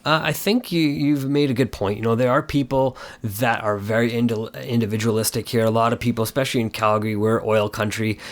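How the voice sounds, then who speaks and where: A man's voice: medium pitch, fairly even intonation, brisk, hardly any pauses. A man co-hosting a podcast, talking casually and earnestly in a hesitant, rambling way full of "you know". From Canada. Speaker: podcast co-host.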